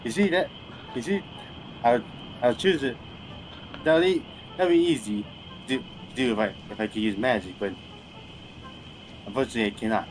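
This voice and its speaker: A man's voice: mid-range voice, moderate pace, pauses often. A man talking casually as a player in a tabletop roleplaying game. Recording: clear background noise.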